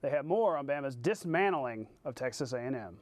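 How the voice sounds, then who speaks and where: A man co-hosting a sports show, calling highlights in a punchy style with exclamations. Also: expressive, a little fast, no room sound. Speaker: TV sports co-host. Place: TV studio.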